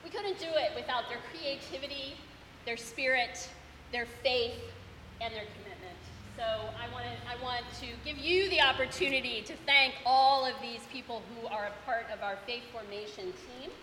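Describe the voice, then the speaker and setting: A woman leading church faith formation speaks to the congregation with eager, thankful energy, her voice pitched a little high and ringing bright. She rolls through her words in rhythmic lists. The sound carries a long church reverb with a faint hiss underneath. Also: moderate pace. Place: church sanctuary. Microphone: handheld or stand microphone.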